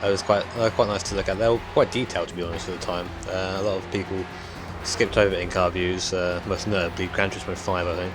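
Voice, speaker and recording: A young man talks his way through a racing game, rambling and hesitant with plenty of ums. His croaky voice sits very deep, and faint game music runs underneath. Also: fairly even intonation, fast. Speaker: gaming YouTuber. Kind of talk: casual gameplay commentary.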